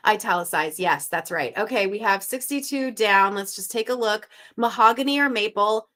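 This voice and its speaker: Woman stream host from Canada: bright, crisp tone, easy chatter, reads guesses aloud, upbeat. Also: mid-range voice, moderate pace. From Canada (Montreal). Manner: upbeat, chatty, thinks out loud. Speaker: host of a live crossword stream. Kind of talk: casual live-stream chat, reading viewer guesses aloud.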